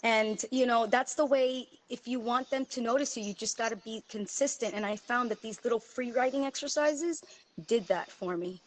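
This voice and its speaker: A woman's voice: a little high, normal speed, rough recording. A woman, a guest chatting casually over her phone, talkative and self-deprecating with many fillers. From Miami, USA. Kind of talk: casual chat.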